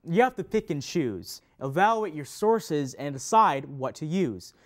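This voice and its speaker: Animated, pedantic male teacher, acted by a man. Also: fairly high pitch, measured pace. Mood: instructive. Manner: emphatic, stretched key words, didactic. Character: pedantic male teacher patiently correcting a student. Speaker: readers theater performer. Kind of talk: scripted instructional stage dialogue.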